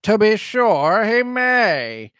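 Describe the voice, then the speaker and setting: A man voicing a bluff, excitable gentleman squire in a storybook, with emphatic exclamations. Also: high voice, extremely slow, dry recording, clean studio sound, full character voice. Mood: hearty approval.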